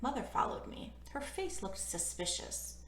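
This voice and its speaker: Playful lady reading a kids book, kinda high voice, takes her time, echoey room. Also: very animated, a little slow, fairly bright tone. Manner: playful, informal, addressing children. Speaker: children's read-aloud host, hairdresser. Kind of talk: children's storybook read-aloud and chatty intro. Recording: roomy, echoey room sound.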